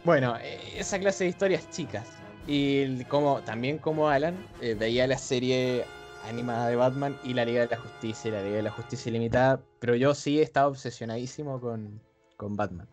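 A young man with an Argentine accent tells his stories in a loose, easygoing way, stopping often to hesitate and laugh. He talks at an ordinary middle pitch, and faint music plays behind him. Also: fairly quick. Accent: Argentine Spanish. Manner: relaxed storytelling with frequent hesitations and laughs. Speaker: podcast co-host. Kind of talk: casual chat.

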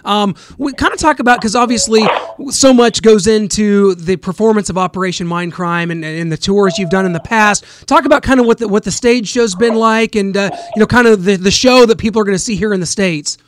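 A man's voice: high voice, a little fast, few pauses, a little hiss or hum. A man hosting a radio interview, asking eager, rambling questions with frequent restarts. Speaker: radio show host.

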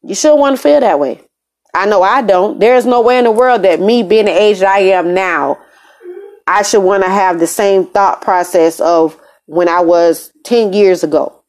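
A woman's voice: mid-range voice, moderate pace, darkish tone. An American woman hosting a podcast, speaking in a conversational, emphatic way in a casual motivational monologue, often repeating phrases for emphasis. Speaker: podcast host. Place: room in an apartment.

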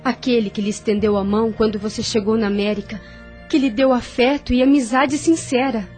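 Radio soap role, female actor: dignified immigrant mother, hurt and reproachful, higher-pitched voice over faint music. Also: fairly even intonation, brisk, a little mellow, close to an everyday voice. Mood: firm, reproachful. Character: proud, wounded, reproachful immigrant mother of a young boy. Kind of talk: radio soap opera acting.

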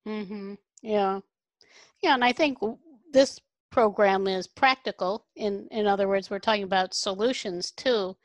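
A woman hosting an interview, explaining ideas in a reflective, measured way. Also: mid-range voice, no echo. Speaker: host.

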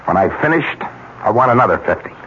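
An actor in a 1970s radio mystery playing a cool, calculating blackmailer, terse and deliberate. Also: male voice, mid-range voice, animated, a bit bright, lightly acted. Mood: cool, threatening. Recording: dull, noisy 1970s radio recording.

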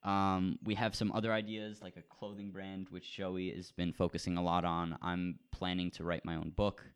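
A teenage boy co-hosting a podcast, talking casually and at length, with frequent restarts, getting animated when he tells a story. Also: low-pitched, moderate pace, clean tone. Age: teens. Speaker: podcast co-host. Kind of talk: casual chat.

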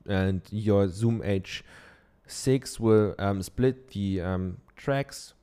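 A man's voice: fairly low pitch, very slow, dark tone, clean tone. A man from Germany with a German accent, giving a casual how-to talk from his office into a dynamic studio microphone, chatty and loose, with many ums and tag questions. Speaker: podcaster. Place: treated home office.

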